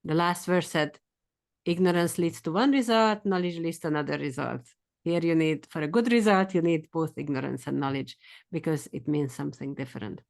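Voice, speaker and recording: A woman with a Hungarian accent teaching a scripture study class, quick and eager, sometimes speeding up. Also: low voice, vocal fry, a little mellow.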